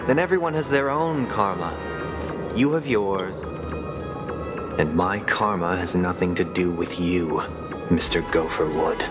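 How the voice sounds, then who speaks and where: A restrained, devoted young brother in a game story. He speaks politely and unhurriedly in a fairly low voice, with loud music around him. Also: measured pace. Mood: restrained, questioning. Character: composed, self-sacrificing young man, devoted brother and disciple. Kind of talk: dramatic game voice acting.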